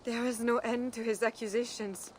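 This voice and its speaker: An actress playing a dignified, devout Frankish queen in a video game, speaking in a measured, formal, earnest way. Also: a little high, fairly even intonation, a little hiss or hum, lightly acted. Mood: regretful. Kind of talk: dramatic video game acting.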